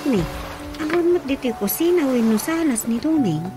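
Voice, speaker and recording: An actress playing a dutiful young adult daughter in a radio drama, speaking with an Ilocano accent and a fussing, attentive manner. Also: female voice, high voice, sing-song, moderate pace. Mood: caring. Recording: music bed under the dialogue. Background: music.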